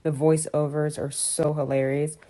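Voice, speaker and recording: A young woman giving a casual, teasing comedic reaction into a phone, halting for emphasis. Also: low voice, flat delivery, warm tone. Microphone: phone at arm's length.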